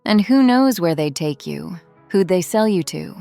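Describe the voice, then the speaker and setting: A woman playing a haunted, protective former street criminal in a drama, speaking in an earnest, halting, confessional way. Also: mid-range voice, lively intonation, dark tone, no echo, soft background music, lightly acted. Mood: grave, halting. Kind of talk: dramatic audio drama acting.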